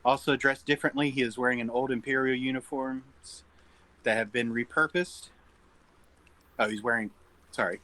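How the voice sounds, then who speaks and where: A man's voice: medium pitch, steady tone, moderate pace, pauses often, bright, forceful tone, low-quality recording. A man reading stage directions aloud as narrator in an informal script table read.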